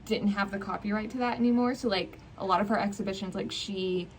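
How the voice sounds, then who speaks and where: A woman's voice: mid-range voice, fairly even intonation, fairly quick. Young woman rambling casually about stuff, lots of likes and ums, some background noise. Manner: hesitant, filler-heavy, informal delivery. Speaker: book vlog co-host. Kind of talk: casual book chat. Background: ambient noise, people in the distance.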